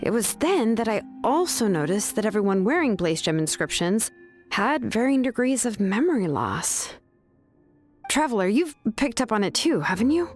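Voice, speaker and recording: Cool sharp young game heroine, slightly high voice, faint music behind. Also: female voice, sing-song, moderate pace. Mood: calm, probing. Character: composed, shrewd young craftswoman, coolly confronting. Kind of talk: dramatic video game voice acting.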